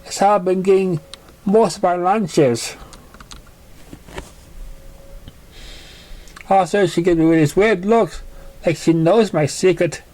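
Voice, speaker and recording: A young pony girl acted out by a man speaks in a high voice, halting and confessional. She is an orphan, wounded but plucky, telling her own story in a fan-made storybook reading. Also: darkish tone. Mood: recounting, subdued. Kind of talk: amateur fan fiction reading.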